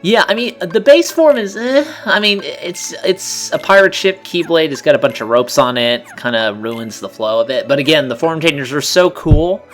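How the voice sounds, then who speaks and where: A man's voice: on the high side, animated, normal speed, few pauses, a bit bright. A man chatting casually as co-commentator on a video game playthrough. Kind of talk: casual chat. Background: game music.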